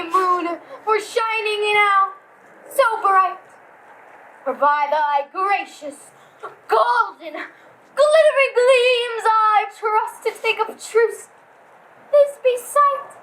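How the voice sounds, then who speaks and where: A woman's voice: extremely high pitch, a little hiss or hum, full character voice, rough recording. A woman playing Pyramus, wailing tragic lover. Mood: grandiose. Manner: melodramatic wailing and shouting. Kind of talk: amateur Shakespeare stage acting.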